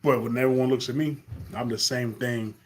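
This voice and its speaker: A man's voice: mid-range voice, steady tone, a little fast, a little hiss or hum. Earnest Carolina man musing live. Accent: Carolina Southern US. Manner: earnest, rambling, with many fillers and restarts. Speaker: live stream host. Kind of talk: unscripted live monologue.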